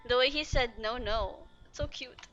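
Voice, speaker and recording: A woman giving casual, excitable and gushing commentary while playing a story game. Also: a little high, normal speed, dull audio. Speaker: gamer reacting to a story quest. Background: game music.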